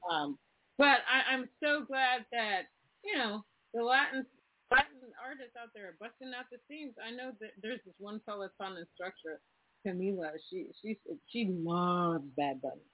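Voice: medium pitch, moderate pace, no echo. A podcast host talking casually with a guest, chatty and opinionated, telling stories with animation. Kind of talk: casual interview chat. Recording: tinny phone-like line, dry.

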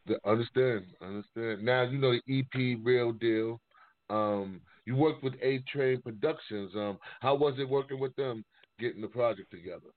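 A man hosting an informal music interview, halting and often rephrasing his questions mid-sentence. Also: mid-range voice, no room sound. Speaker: interviewer, music show host. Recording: muffled phone-like sound.

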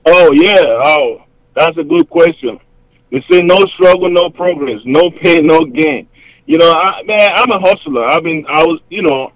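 Upbeat Cameroonian man, slightly high voice. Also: vocal fry, dry recording, low-quality recording. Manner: upbeat, talks in quick rambling phrases with many fillers. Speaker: guest, hip-hop artist. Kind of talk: casual interview over a remote line.

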